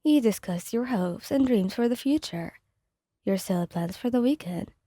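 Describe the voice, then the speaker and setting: A woman reading scripted fan fiction as soft ASMR narration, with a gentle, soothing delivery. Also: on the high side, animated, moderate pace, dry recording, clean studio sound.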